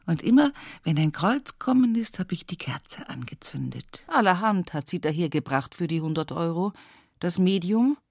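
A woman's voice: on the low side, expressive, normal speed, gravelly, darkish tone, no room sound, studio quality. An actress playing an older, pious, plain-spoken village woman with an Upper Palatinate Bavarian accent, reminiscing in a folksy radio play monologue. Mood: plain, devout. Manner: folksy, earthy dialect storytelling with emphatic repetitions.

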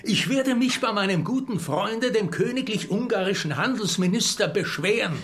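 Pompous old gentleman, high and swooping. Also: expressive, moderate pace. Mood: indignant. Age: older. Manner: pompous, aggrieved. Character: indignant old bearded male Hungarian member of parliament. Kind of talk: radio play acting. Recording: music behind the voice.

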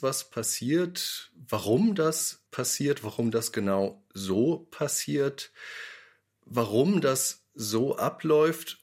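A man reflects on his work in an interview. His mid-pitched voice has plenty of gravelly creak, and he builds points through repeated questions. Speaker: radio drama maker interviewed about the production.

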